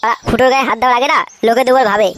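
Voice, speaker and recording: A man voicing a brash, foul-mouthed young cartoon layabout, swaggering and slangy, with a pitch-altered voice. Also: steady tone, very fast, a bit bright. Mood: cocky, bantering. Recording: faintly noisy cartoon dub, birdsong behind.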